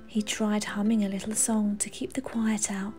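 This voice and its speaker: A woman storyteller reading a children's bedtime story aloud, expressive, with dramatic emphasis. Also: mid-range voice, moderate pace, faint music behind. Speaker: storyteller, channel host.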